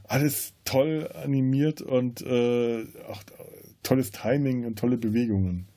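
A man podcast host in a casual film discussion, often halting as he searches for words. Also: mid-range voice, fairly even intonation, mellow.

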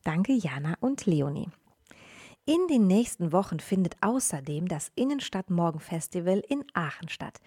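A woman's voice: lowish voice, mellow, breathy edge, no room sound, studio quality. A woman presenting scripted event news for children on a radio show.

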